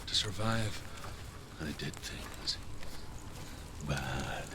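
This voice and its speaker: An actor playing a weary, haunted former scholar from Ireland in a video game, speaking gravely and deliberately as a mentor. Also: male voice, very animated, heavily acted. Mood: sorrowful, confessional. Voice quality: very deep, gravelly. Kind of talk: dramatic video game acting. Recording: clear ambient noise behind.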